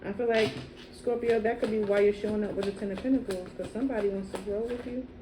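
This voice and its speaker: A woman with a low voice, doing a casual tarot reading in a soft, musing way and repeating phrases as she thinks. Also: steady tone, quick pace, background noise. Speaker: tarot card reader.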